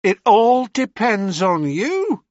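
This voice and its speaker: A man's voice: sits high, wildly swooping pitch, takes it slowly, no echo, muffled recording, pitched above the performer's own voice, heavily acted. A man doing a cocky, teasing young hero. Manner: mocking, playful.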